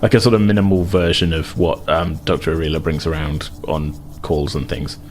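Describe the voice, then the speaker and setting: A man's voice: a bass voice, flat delivery, a little fast, a little hiss or hum. A man narrating an improvised tabletop game as game master, explaining rules and scenes in a tentative, meandering way. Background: music under the voice.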